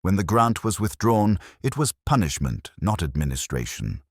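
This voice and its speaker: A man playing a weary, brooding middle-aged police inspector, narrating a scripted detective story in a measured, reflective, literary manner. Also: sits low, sing-song, no echo, clean studio sound. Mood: somber, reflective.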